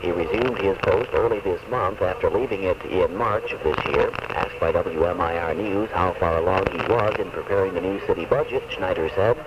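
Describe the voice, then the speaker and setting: A man's voice: low voice, moderate pace, hardly any pauses. A man reading scripted local news as a radio newscaster. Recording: distant, muffled, noisy AM radio recording.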